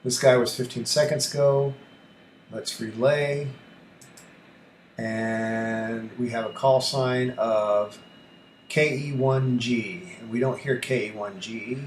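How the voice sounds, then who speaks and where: A man thinking aloud through a casual screen tutorial as a hobby radio host, halting and muttering to himself as he works. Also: mid-range voice, fairly even intonation. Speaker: amateur radio YouTuber, host. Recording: echoey room with faint background noise.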